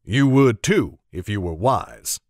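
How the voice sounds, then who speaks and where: A man's voice: on the low side, lively intonation, takes it slowly, darkish tone, no echo, clean studio sound. A man voicing a wry older bowyer who speaks with a smirking drawl. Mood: grave.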